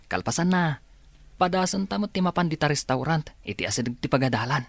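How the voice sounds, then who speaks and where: A man's voice: on the high side, lively intonation, fairly quick, vocal fry, dry recording. A man playing a friendly young student, eager to explore, in an Ilocano radio drama.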